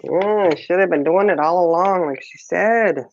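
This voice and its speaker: A woman's voice: fairly low pitch, unhurried, darkish tone, lo-fi sound, slight background noise. A woman co-hosting a casual chat, teasing and joking. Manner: teasing, dry joker. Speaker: podcast co-host.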